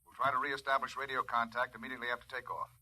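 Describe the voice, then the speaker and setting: An actor playing a brisk male colonel at ground control, heard over a radio link in a vintage science fiction drama. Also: medium pitch, little pitch movement, sharp tone, poor audio. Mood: businesslike. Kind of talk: old-time radio science fiction acting.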